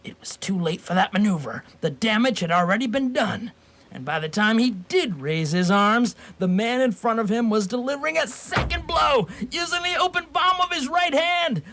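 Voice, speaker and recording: High-pitched male radio-drama narrator. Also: lively intonation, moderate pace, pushed and bright. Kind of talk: dramatic scripted story narration for radio theatre.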